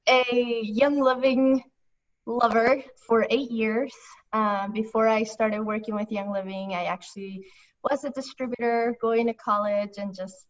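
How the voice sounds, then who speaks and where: A young woman from Ecuador talks casually about her job, laughing easily and filling pauses with um and like. Her slightly high voice comes through a phone line, sounding muffled and set back from the mic. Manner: bubbly, laughs often, many fillers. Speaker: guest, foundation staff member. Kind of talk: casual interview over a phone call. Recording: distant, muffled phone audio.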